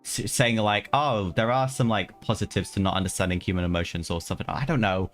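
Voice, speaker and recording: A man's voice: fairly low pitch, a little fast. A man giving casual, slangy live gameplay commentary as a streamer, grumbling and talking back to the game. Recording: game music behind the voice.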